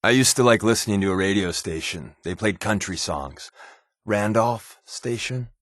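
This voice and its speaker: An actor voicing a sardonic, wisecracking secret agent companion in a video game, with a dry, joking manner. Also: male voice, on the low side, normal speed, fairly bright tone, no echo, lightly acted. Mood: conspiratorial, casual. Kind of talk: game voice acting.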